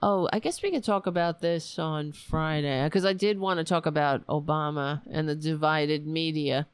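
A woman hosting a casual political talk show, rambling and sarcastic, hoarse because she is losing her voice. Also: low-pitched, fairly even intonation, moderate pace, no room sound.

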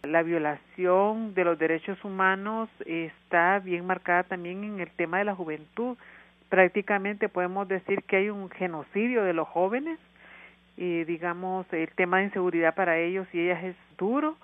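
A woman's voice: lowish voice, normal speed, no echo. A woman with a Honduran accent, speaking earnestly as an interview guest over the phone, with frequent hesitations. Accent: Honduran Spanish. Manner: earnest, steady, many hesitations. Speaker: NGO worker, interview guest. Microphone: telephone. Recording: tinny dry phone line.

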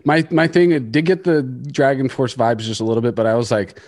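A man's voice: mid-range voice, steady tone, brisk, darkish tone. Tipsy, sweary man chatting casually. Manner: sweary, self-deprecating, stumbling. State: tipsy from day drinking. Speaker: podcast interviewer. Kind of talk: casual chat.

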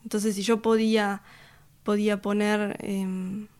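A woman answers interview questions about her music. She speaks thoughtfully and hesitantly, often pausing on fillers and restarting her sentences, and laughs easily between thoughts. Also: mid-range voice, flat delivery, darkish tone, smooth. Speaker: musician and record producer. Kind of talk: casual interview conversation.

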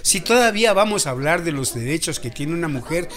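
A Mexican man of medicine in a radio soap, patient and didactic. His voice is on the high side and forceful, and he takes his time to encourage his listeners. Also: expressive, fairly quick. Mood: instructive. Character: patient, encouraging male doctor teaching village women.

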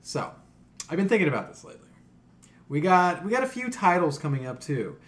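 A man's voice: fairly high pitch, big pitch swings, brisk. A man hosting a podcast in casual, opinionated chat, blunt, profane and emphatic in how he talks. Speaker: podcast host.

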